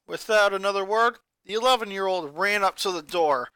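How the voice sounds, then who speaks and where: A narrator reading a fanfiction story aloud, telling it in a steady, earnest way. the voice is bright and clear at a middle pitch, recorded close and dry with no room sound. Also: a little fast, dry recording. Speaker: fanfiction reader.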